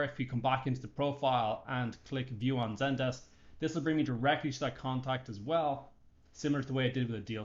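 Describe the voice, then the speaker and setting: A man presents a software tutorial in a mid-pitched voice. He narrates informally over a screen share, explaining each action step by step. The recording is a little dull and lo-fi.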